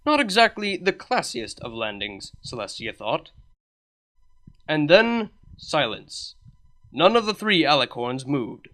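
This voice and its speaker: A man narrates a comedic fan story with a wry, deadpan touch. His voice sits fairly high for a man and swings freely in pitch as he lands the jokes. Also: takes it slowly. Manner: wry, deadpan delivery with lively emphasis. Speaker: fan fiction reader.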